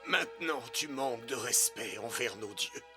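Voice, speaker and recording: An actor playing an old, dying Egyptian pharaoh, a stern and disappointed father, in a dubbed animated film. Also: mid-range voice, normal speed, over music, lightly acted. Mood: weary reproach.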